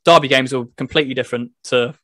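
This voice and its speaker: Casting: male gaming review host, average pitch, dry recording, informal and meandering delivery, somewhat hesitant. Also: steady tone, fast talker, bright, forceful tone, no room sound. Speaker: video host reviewing a football game. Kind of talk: casual chat.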